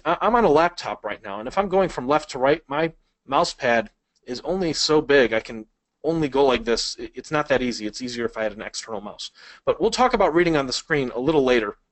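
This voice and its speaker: A man's voice: mid-range voice, very animated, fairly quick. Casual male speed-reading instructor. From Chicago, United States. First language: Polish. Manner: casual, conversational teaching with frequent fillers. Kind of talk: online video lesson.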